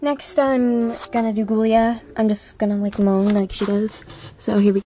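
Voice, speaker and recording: A woman's voice: medium pitch, flat delivery, normal speed, dark tone, a little hiss or hum. A woman chatting casually and rambling to viewers as she introduces her amateur voice auditions. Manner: rambling, self-commenting.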